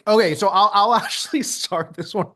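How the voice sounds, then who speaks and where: Man, first language Mandarin, interview guest, reflective, slightly high pitch, light laughs. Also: normal speed, a bit bright. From United States. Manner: earnest, laughs lightly between points, many ums.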